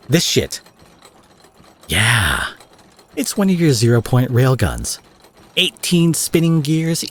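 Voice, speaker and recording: A man acting out a cocky, foul-mouthed young supervillain in an audio roleplay, husky, mocking and possessive, taunting his captive with drawled pet names. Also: fairly low pitch, dry recording, studio quality, full character voice. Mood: exasperated, taunting, then boastful, teasing. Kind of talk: dramatic roleplay monologue addressed to the listener.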